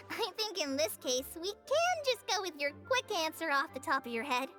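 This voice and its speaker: Casting: childlike video game fairy sidekick, chirpy, extremely high, lively swoops. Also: female voice, moderate pace, music in the background. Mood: cheerful. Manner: chirpy, eager. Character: small, chirpy, childlike female fairy companion. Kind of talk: video game cutscene acting.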